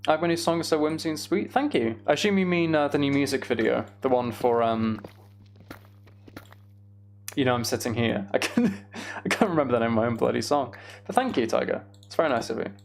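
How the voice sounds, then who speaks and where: A man with plenty of vocal fry in a middling pitch, commentating casually on his own gameplay for a livestream. He mutters asides to himself and pokes fun at himself as he goes. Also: brisk, slight background noise.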